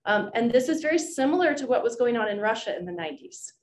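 A woman professor lectures from her slides, explaining her points with frequent ums and self-corrections. Her voice sits a little high. The sound is roomy, with a faint background hiss from a camera at the back. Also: animated, fast talker. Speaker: political science professor. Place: lecture room with projector screen. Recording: echoey room, faint background noise.

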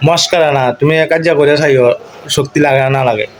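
Fast-talking, higher-pitched Bengali village man. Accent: rural eastern Bengali dialect. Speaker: video creator on a call. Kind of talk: casual bantering phone chat. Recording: noisy phone video call recording.

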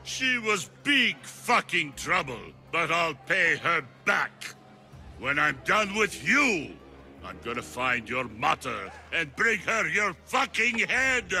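An actor voicing a brutal, menacing crime boss in a video game, with a gravelly, snarling, threatening delivery. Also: male voice, a little high, sing-song, slow, sharp tone, soft background music, full character voice. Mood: menacing rage. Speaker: villain in an action game. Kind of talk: dramatic video game acting.

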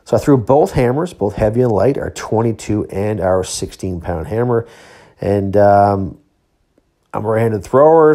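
Male, around fifty, low and very mellow voice, casual vlog narration, matter-of-fact and self-critical athlete. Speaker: athlete vlogger, Highland games thrower. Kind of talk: casual training vlog voice-over.

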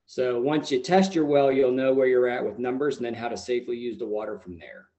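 A man in his thirties with a mid-pitched voice speaks on a video call. He explains technical points as a specialist would, informative and matter-of-fact. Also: brisk, mellow. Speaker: agricultural extension specialist. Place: small plain room indoors. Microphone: computer mic on a video call.

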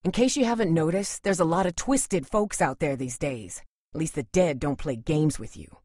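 A woman's voice: low voice, normal speed, a little mellow, no room sound, clean studio sound. A woman acting a tough, distrustful and protective survivor in a dramatic video game, blunt, sardonic and guarded. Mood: wary, bitter.

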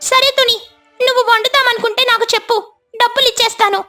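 A woman acts out a friendly female parrot from a cartoon bird fable. Her voice is squeakily high and excitable, full of gushing exclamations, with soft music underneath. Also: quick pace. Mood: businesslike.

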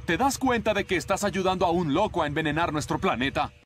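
Young cartoon hero male actor, high voice, earnest, defiant, Latin American accent, urgent delivery. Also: expressive, fast talker, slight background noise. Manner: urgent, determined. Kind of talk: amateur Latin American Spanish cartoon dub.

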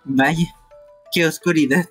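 A man dubbing game dialogue live in an amateur, playful, teasing way, with a husky voice. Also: fairly high pitch, fairly even intonation, takes it slowly, fairly bright tone, soft background music.

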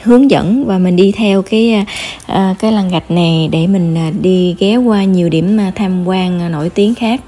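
A woman with a southern Vietnamese accent narrating an informal travel guide as a vlogger, often pausing mid-phrase. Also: lowish voice, warm tone.

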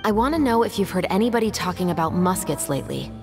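A woman's voice: medium pitch, animated, quick pace, over music, close to an everyday voice. An actress playing a composed, businesslike young female patrol captain in a video game, formal and reserved. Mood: cool, probing. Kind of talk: video game dialogue acting.

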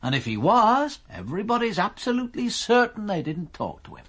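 A man's voice: high-pitched, big pitch swings, a little fast, fairly bright tone, exaggerated voice. A man playing a blunt, dry-witted police detective in a radio drama. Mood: wry.

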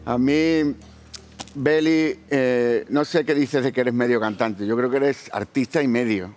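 A man's voice: medium pitch, fairly even intonation, a little slow, darkish tone, faint music behind. Middle-aged man giving heartfelt praise. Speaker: show coach. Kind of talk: casual spoken feedback. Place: large TV studio.

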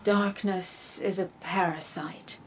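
A solemn warrior mentor, speaks slowly in a lowish female voice. She is grave, earnest and resolute in a video game monologue, with faint music behind her. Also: steady tone, rough recording. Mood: grave, warning.

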